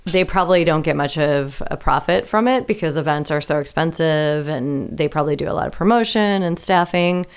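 A woman chatting casually as a guest, giggly and self-deprecating, with a booming voice and lots of hedging. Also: fairly quick, hardly any pauses, clear, resonant tone. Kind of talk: casual chat.

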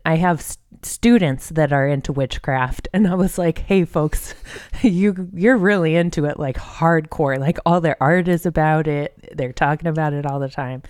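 A woman's voice: deep voice, moderate pace, darkish tone, clean tone, no echo, studio quality. An American woman co-hosting a podcast, chatting and telling stories in an informal, jokey way. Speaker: podcast co-host. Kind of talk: casual chat and storytelling.

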